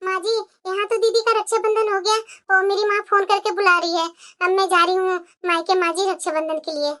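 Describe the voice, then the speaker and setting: A woman's voice: very high voice, flat delivery, a little fast, sharp tone, soft background music. A woman voicing an aggrieved, dutiful young daughter-in-law in a family cartoon drama, with a complaining, pleading manner. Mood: meek, apologetic. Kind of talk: Hindi family drama storytelling.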